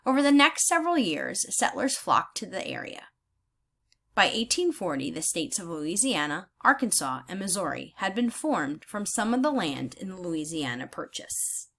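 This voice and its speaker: A woman teacher reading aloud in a deliberate, instructional way, pausing to explain her thinking as she goes. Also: lowish voice, very animated, creaky, a bit bright. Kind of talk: read-aloud lesson with think-aloud comments.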